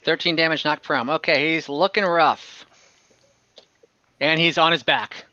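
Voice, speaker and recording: A man's voice: a little high, measured pace, sharp tone, dry recording, muffled recording. A man acting as game master in a casual online tabletop game, narrating and refereeing in an easygoing, accommodating way.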